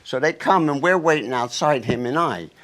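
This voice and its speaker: A man from New Jersey, a guest musician, telling a story in quick, animated bursts. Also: mid-range voice. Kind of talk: casual storytelling.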